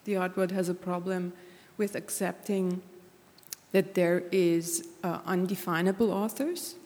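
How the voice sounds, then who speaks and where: A woman's voice: on the low side, fairly even intonation, a little slow, a little mellow. A woman artist speaking on a panel, searching for words with many restarts. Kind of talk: panel discussion. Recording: long hall reverb.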